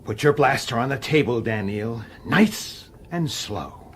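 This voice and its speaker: An actor playing a gruff, irritable, middle-aged police detective in a radio drama, blunt in his delivery. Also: mid-range voice, expressive, unhurried, fairly bright tone, slight background noise. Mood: angry, accusing. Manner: blunt, hesitant, then explosive. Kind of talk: radio drama acting with first-person narration.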